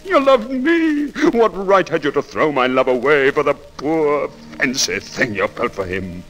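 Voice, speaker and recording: A man's voice: fairly high pitch, very animated, a bit bright, poor audio. An actor playing a tormented, passionate romantic man in theatrical, anguished 1940s radio drama style. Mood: bitter reproach. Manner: anguished, theatrical, slow declamation. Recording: old radio recording with music.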